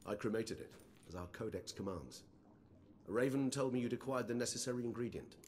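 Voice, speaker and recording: An actor playing a courteous, erudite older vampire in a game, speaking formally in precise, measured phrases. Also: male voice, medium pitch, a little hiss or hum, lightly acted. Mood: urbane, dry. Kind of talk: video game cutscene acting. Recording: long hall reverb, faint background ambience.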